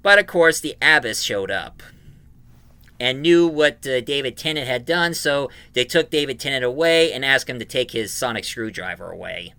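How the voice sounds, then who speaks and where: A man giving an informal, emphatic review of an audio drama, recapping it in a halting way. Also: mid-range voice, lively intonation, sharp tone, clear, resonant tone, no room sound.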